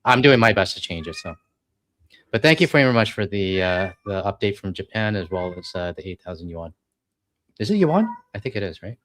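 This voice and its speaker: A man's voice: lowish voice, sing-song, a little fast, fairly bright tone, no echo. A Canadian man hosting a livestream, reading out donations and thanking viewers in a friendly, hesitant way, often correcting himself. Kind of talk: casual chat, thanking donors.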